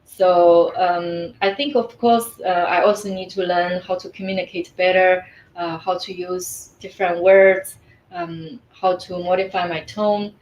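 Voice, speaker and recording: Low-voiced woman, Mandarin speaker. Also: a little slow, a little mellow, lo-fi sound. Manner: thoughtful, frequent "uh" and "mm" fillers. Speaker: coach, interview guest. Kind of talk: conversational interview. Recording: echoey room, faint ambient noise.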